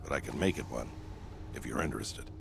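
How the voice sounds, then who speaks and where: An actor playing a gruff, battle-hardened older military commander in a video game, blunt and dry as a mentor. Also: male voice, rumbling low, brisk, slight background noise, heavily acted. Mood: blunt, measured. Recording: game music behind the voice.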